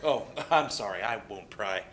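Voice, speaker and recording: Performer as William, lively friendly young man. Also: medium pitch, measured pace, slight background noise. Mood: friendly, awkward. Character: William, friendly, awkward young male former coworker. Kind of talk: dramatic play reading.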